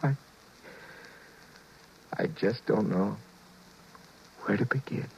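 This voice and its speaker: A stammering guilty husband. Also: male voice, on the low side, takes it slowly, gravelly, a little mellow, slight background noise, heavily acted. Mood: flustered. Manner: stammering, nervous, confiding narration. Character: flustered guilty husband George, middle-aged man. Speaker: actor playing George, narrator and lead. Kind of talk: 1950s radio drama acting. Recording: dull vintage 1950s radio broadcast.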